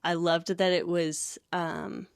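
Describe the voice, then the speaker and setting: A woman's voice: fairly low pitch, level tone, takes it slowly, vocal fry, clear, resonant tone, dry recording, studio quality. An American woman in her thirties from Connecticut, telling her own story casually as an interview guest. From Connecticut, USA. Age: 30s. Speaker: podcast guest, campus ministry worker.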